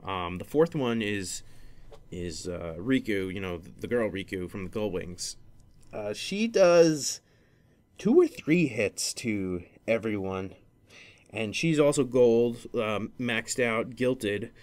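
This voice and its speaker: A man's voice: lowish voice, lively intonation, takes it slowly, a little mellow. A man hosting a casual gaming podcast, explaining things in a rambling, hesitant way and often correcting himself. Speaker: podcast host.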